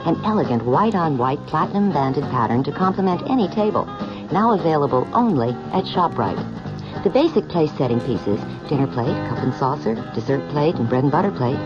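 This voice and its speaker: A woman announcer giving a scripted advertising read for radio. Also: deep voice. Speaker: commercial announcer. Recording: muffled old radio commercial. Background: music.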